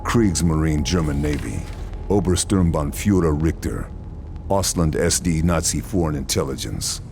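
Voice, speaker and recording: A man's voice: rumbling low, darkish tone, slight background noise. A man playing a hardened, resolute lone sniper agent in a video game, terse and matter-of-fact in his narration. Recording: game audio with music behind.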